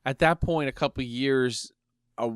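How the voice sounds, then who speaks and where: Smooth-voiced man musing in casual talk. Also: mid-range voice, unhurried, no echo, clean studio sound. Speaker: podcast co-host. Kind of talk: casual fan discussion, musing commentary.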